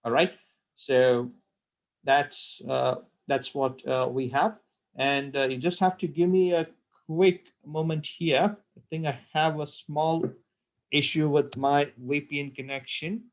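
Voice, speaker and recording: A man presenting a software webinar on a computer call, talking with many fillers and repeated words. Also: on the high side, steady tone, takes it slowly. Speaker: product presenter.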